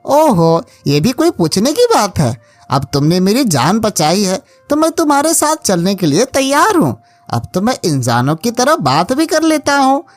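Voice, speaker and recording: A woman's voice: fairly high pitch, sing-song, unhurried, no room sound. Woman as an eager, swooping cartoon talking monkey. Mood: eager, delighted. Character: friendly, loyal talking monkey, eager and chatty. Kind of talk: Hindi cartoon moral story acting.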